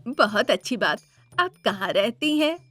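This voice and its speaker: A woman's voice: on the high side, lively intonation, a little slow, fairly bright tone. A woman voicing an ingratiating male village priest and matchmaker in a cartoon story.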